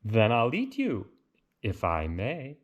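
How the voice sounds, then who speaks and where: A man voicing a calm, courteous man-eating lion in a storybook, speaking in a measured way. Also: mid-range voice, highs cut off, a little hiss or hum. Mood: politely menacing.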